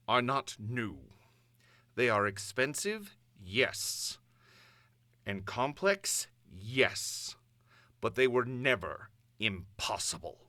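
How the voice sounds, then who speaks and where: A man playing an imperious, cold comic-book supervillain dictator, delivering a measured, grandiose address with pompous authority. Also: fairly low pitch, fairly bright tone, no room sound. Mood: righteous, grand. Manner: measured, pompous, speaking of himself in third person. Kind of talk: dramatic comic-book villain monologue, broadcast address.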